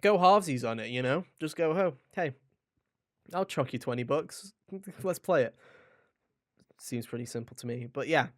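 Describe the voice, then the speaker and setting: A man's voice: medium pitch, lively intonation, moderate pace, long pauses. A man hosting a podcast in a casual, informal chat about video games, rambling a little and addressing listeners directly. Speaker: podcast host.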